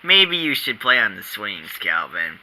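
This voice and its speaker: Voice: medium pitch, sing-song, a little slow, sharp tone, voice pushed down, exaggerated voice, low-quality recording. A performer voicing a stern female schoolteacher.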